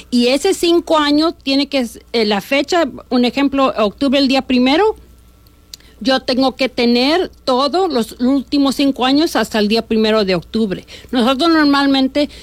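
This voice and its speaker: Middle-aged woman guest, northern Mexican accent, talkative, lots of fillers, slightly high, dry close sound. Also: dry recording. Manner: chatty, frequent fillers and restarts. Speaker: bail bond agent guest. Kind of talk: casual radio interview.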